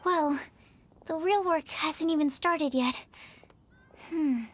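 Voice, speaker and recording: Cheerful young helper: very high, eager, friendly. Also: female voice, slow pace, very noisy. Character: upbeat young woman helper sorting letters. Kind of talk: video game voice acting.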